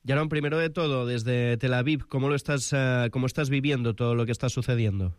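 A man's voice: medium pitch, a little fast, no echo, studio quality, soft background music. A man presenting the news and interviewing as a radio host, in a scripted broadcast style.

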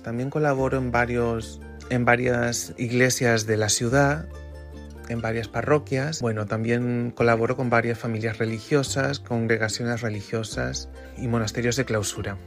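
A man in his thirties from Chile, a church volunteer, talking plainly in an interview with some restarts. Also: medium pitch. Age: 30s.

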